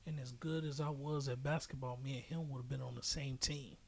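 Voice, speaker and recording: A man's voice: mid-range voice, steady tone, fairly quick, creaky, a little mellow. An American man from Chicago talking sports casually, explaining his opinions with examples. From Chicago, United States. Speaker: podcast host. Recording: noisy amateur podcast audio.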